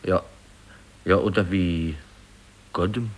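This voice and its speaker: Simple gate clerk in a radio drama, kinda low voice, drawn out n unsure, folksy. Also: male voice, fairly even intonation. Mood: earnest, musing. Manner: hesitant, folksy, drawn-out musing. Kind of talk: 1950s German radio play acting.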